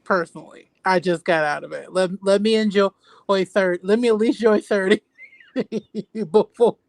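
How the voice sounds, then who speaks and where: Man around 30, livestream guest; animated, casual, dry close sound. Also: high voice, normal speed, gravelly, no room sound. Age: 30s. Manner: animated, starts sentences with "look". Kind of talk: casual chat.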